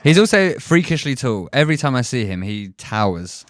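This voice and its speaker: A man's voice: mid-range voice, sing-song, normal speed, dry recording. A man on a casual podcast chat, making wry, jokey asides. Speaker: podcast co-host.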